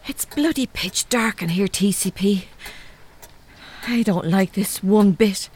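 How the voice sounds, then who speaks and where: A woman's voice: mid-range voice, takes it slowly, music in the background, lightly acted. An actress playing a jumpy, blunt young Irish woman in a comedy audio drama. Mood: uneasy. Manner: nervy, blunt, salty. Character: jumpy, plain-spoken young Irish woman, easily spooked.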